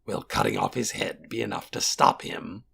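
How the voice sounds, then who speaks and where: A man voicing a proud, calculating monstrous warrior in an audiobook, with a raspy, gravelly voice and a haughty, deliberate, formal way of speaking. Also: fairly low pitch, fairly bright tone, heavily acted. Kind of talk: audiobook character voice.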